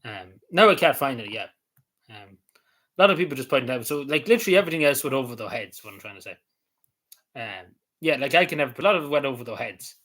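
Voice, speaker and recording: A man's voice: mid-range voice, lively intonation, fast, a bit bright. A man rambling casually on camera, hesitant, with many fillers, restarts and small chuckles. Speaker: vlogger.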